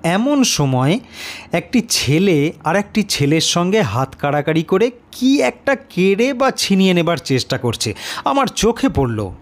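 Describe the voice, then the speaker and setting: Slow, higher-pitched male storyteller. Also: big pitch swings. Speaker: story narrator. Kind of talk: first-person scripted literary narration.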